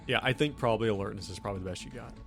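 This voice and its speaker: A man with a fairly low voice improvises narration as a tabletop game master. His delivery is informal and halting, with teasing asides to the players. Soft music plays underneath him. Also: creaky.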